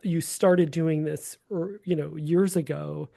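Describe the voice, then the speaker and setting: Host, gravelly and dark in tone, hesitant and rambling in delivery. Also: medium pitch, fairly even intonation, slow pace, no room sound, clean studio sound. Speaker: podcast host. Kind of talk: casual podcast interview.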